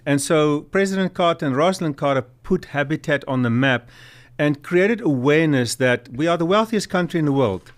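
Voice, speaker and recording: A man's voice: a little high, expressive, brisk. A middle-aged man from South Africa with a light South African accent, telling stories as a guest on a talk show. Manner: storytelling, earnest, many fillers. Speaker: guest, nonprofit executive director. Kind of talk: casual interview chat.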